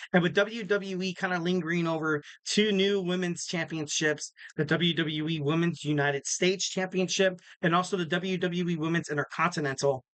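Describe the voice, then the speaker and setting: A man with a bright, forceful, slightly raspy voice, a little higher than most men. He hosts a solo wrestling podcast, talking loosely and upbeat with lots of fillers. The sound is dry and close. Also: dry recording, rough recording. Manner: rambling, filler-heavy, upbeat promo talk. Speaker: podcast host. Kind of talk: casual solo wrestling preview.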